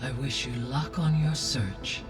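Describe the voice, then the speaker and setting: An actress voicing a calm, wise female AI that speaks in a measured, formal way. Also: rumbling low. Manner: measured, formal, precise diction. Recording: distant voice over loud music.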